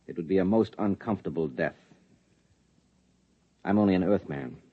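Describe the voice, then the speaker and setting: A man's voice: low voice, normal speed, husky. An actor playing a hard Earthman interrogating an alien in a 1950s radio drama, clipped and menacing. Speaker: husband in a radio play. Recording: dull vintage radio recording.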